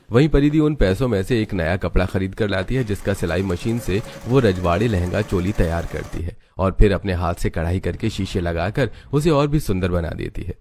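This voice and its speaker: A man's voice: low voice, darkish tone, a little hiss or hum. Male cartoon story narrator, music behind.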